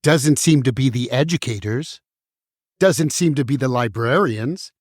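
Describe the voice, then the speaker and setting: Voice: mid-range voice, lively intonation, unhurried, darkish tone, dry recording, studio quality. A performer playing an alarmed, bitter banned book in a musical puppet show, with dramatic, urgent, declamatory delivery. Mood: resigned, bitter. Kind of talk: theatrical musical puppet show acting with singing.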